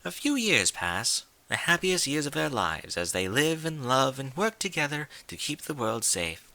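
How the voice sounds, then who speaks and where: A man voicing a refined young female pony from a cartoon world in a story reading, with genteel, theatrical, gently prodding diction. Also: mid-range voice, very animated, normal speed, voice pushed up. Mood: coy, storytelling.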